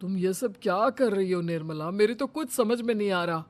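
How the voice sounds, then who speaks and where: A woman's voice: medium pitch, expressive, fairly quick, a little mellow, lightly acted. A woman voicing an anxious, questioning middle-aged mother-in-law in a cartoon story. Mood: puzzled.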